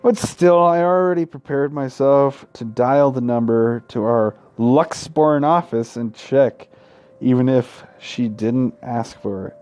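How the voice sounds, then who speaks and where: A man's voice: medium pitch, slow, darkish tone, dull audio. A man hosting a gaming video, reading visual novel text aloud and adding casual, irreverent commentary. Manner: irreverent, sweary heckling between read passages.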